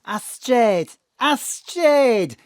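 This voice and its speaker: A flirty middle-aged Welsh mam in a comic audio drama. She is gossipy and muddle-headed, with a slow, theatrical lilt that swoops up and down in a dry close sound. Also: on the high side, expressive, dry recording. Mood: gossipy, fussing.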